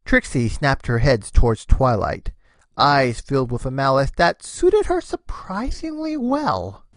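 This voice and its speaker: A woman giving an expressive, scripted narration of a story. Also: rumbling low, a little slow, dry recording.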